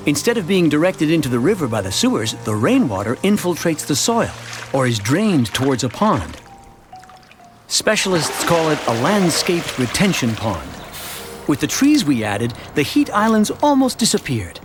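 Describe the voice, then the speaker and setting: A man playing a chatty, earnest middle-aged suburban dad who narrates an animated short in a conversational, expressive storytelling way over music. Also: a little high, moderate pace. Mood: upbeat, proud. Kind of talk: scripted first-person narration for an animated educational short.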